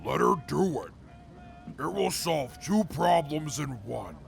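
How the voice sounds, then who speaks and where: An actor playing an arrogant, scheming would-be ruler in an audio drama, pompous and indignant, with a gravelly voice and disdain for weak humans. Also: male voice, a little high, sing-song, takes it slowly, soft background music, exaggerated voice. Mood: scornful. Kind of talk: post-apocalyptic audio drama acting.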